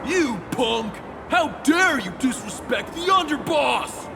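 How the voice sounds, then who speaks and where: Blustering game thug, gravelly creak, slow, outraged, loyal lackey, background music. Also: male voice, very high voice, lively intonation, exaggerated voice. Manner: rude, blustering. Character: outraged, loyal male gangster henchman. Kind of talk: video game voice acting. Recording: music behind the voice.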